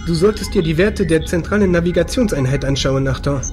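Wry higher-voiced spaceship pilot played by a man. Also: brisk, over music, lightly acted. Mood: dry, uneasy. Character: wry, matter-of-fact male spaceship pilot. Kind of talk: science fiction audio drama.

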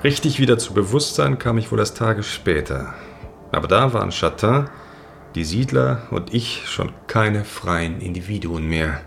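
Brooding gravelly sect leader played by a man. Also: fairly low pitch, animated, a little slow, a bit bright, slight background noise, full character voice. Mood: haunted, awed. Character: brooding male sect leader in space, recounting his downfall. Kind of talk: science fiction audio drama with first-person narration.

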